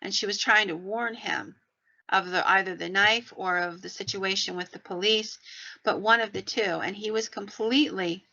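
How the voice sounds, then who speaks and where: A woman's voice: medium pitch, gravelly, bright, forceful tone. A woman podcast host telling paranormal stories in a conversational, folksy way with rambling asides. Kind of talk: conversational storytelling about the paranormal. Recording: dull, lo-fi sound.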